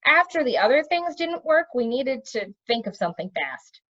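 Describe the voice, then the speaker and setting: A woman's voice: high voice, very animated, moderate pace, a bit bright, highs cut off, pitched above the performer's own voice, heavily acted. A woman voicing an eager, blunt young boy prince who speaks up insistently, in a storybook reading. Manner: insistent, outspoken.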